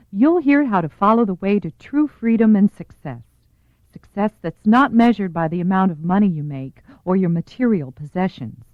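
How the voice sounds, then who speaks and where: A woman reading scripted promotional narration as the announcer of a recorded program. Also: mid-range voice. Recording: tinny, echoey, band-limited audio.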